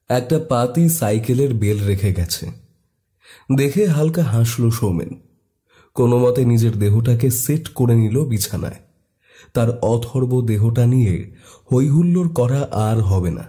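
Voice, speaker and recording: A man's voice: medium pitch, warm tone. A man narrating a scripted horror story in a dramatic storytelling style.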